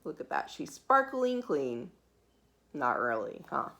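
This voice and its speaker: A woman reading a children's book aloud like a preschool teacher, expressive and emphatic, with dramatic pauses and small asides to her young listeners. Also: sits low. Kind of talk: animated read-aloud storytelling for young children.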